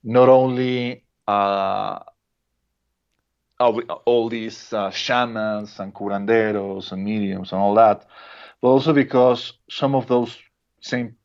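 A man's voice: fairly low pitch, fairly even intonation, takes it slowly, a little mellow. A man with a Mexican Spanish accent, a native Spanish speaker, chatting casually on a podcast, hesitant with many restarts. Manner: hesitant, many restarts and fillers, laughs often. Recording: dull lo-fi sound.

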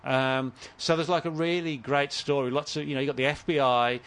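Halting older man telling a story. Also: fairly high pitch, fairly even intonation, fairly bright tone, clean tone. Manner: halting, with many fillers and restarts. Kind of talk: casual conversation.

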